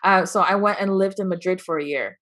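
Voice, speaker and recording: A woman's voice: fairly low pitch, brisk, a bit bright. A young woman from Taiwan with an American accent, chatting as an interview guest, laughing often and restarting her sentences. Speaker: guest, entrepreneur. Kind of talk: casual interview chat.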